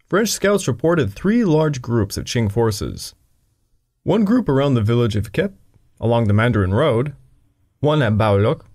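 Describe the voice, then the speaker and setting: Brief: male history podcast narrator, animated delivery, dry humor in asides. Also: medium pitch. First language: English. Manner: dry asides breaking into the reading.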